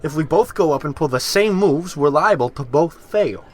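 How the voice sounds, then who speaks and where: An actor playing a hesitant, eager, wisecracking barfly in an old-time radio comedy, with halting, slangy speech. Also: male voice, a little high, expressive, a little mellow. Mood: wry, scheming.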